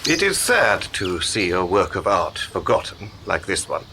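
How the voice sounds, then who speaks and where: Radio drama: male actor as cultured, persuasive man of mystery, lowish and very animated, measured phrasing. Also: background noise. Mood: wistful, reflective. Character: smooth, cultured, secretive male promoter of radical poets. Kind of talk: radio play acting.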